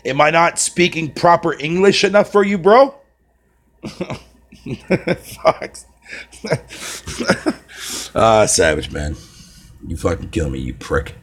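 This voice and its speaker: A man's voice: on the high side, very animated, very slow, pauses often, fairly bright tone. A man with a Canadian accent chatting casually on a live stream, swearing a lot and laughing often. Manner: profane, rambling, laughs often.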